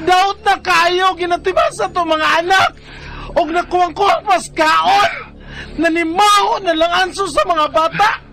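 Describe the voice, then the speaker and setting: A woman's voice: very high voice, steady tone, a little slow, heavy background noise. An actress playing a troubled mother of three in her thirties, with a Bisaya Philippine accent, in a radio drama. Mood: crying, shouting. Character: troubled 33-year-old mother of three, hurt by jealous partner.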